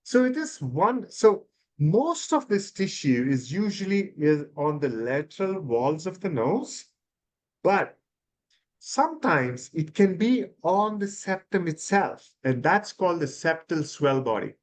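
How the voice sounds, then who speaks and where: Male ENT specialist, fifties, from India; hesitant explainer, slightly high voice. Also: big pitch swings, measured pace. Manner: explanatory, hesitant with fillers and restarts. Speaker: ENT surgeon guest. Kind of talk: expert interview answers.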